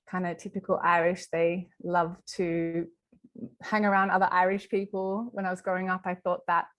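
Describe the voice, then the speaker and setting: A woman from Western Sydney with an Australian accent, chatting informally in an interview and thinking aloud as she goes. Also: on the low side. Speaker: company executive being interviewed. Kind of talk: casual interview chat.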